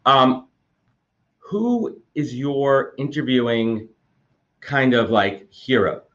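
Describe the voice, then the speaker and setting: A man's voice: mid-range voice, expressive, a bit bright, highs cut off. A man hosting a podcast, a little congested from a cold, talking eagerly and repeating words as he goes. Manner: rapid, enthusiastic, with frequent repeated words. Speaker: podcast host. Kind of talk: conversational interview.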